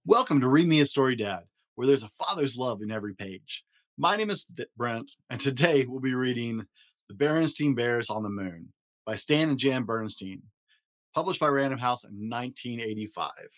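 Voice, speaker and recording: A man hosting a story podcast, reading a rhyming children's picture book aloud in an expressive, rhythmic way. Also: medium pitch, normal speed. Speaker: podcast host and story reader.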